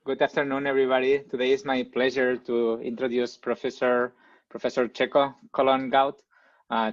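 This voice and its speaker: Halting male host, dull dry call audio. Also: medium pitch, dry recording. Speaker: seminar host introducing the speaker. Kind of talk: semi-formal spoken introduction. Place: remote video call.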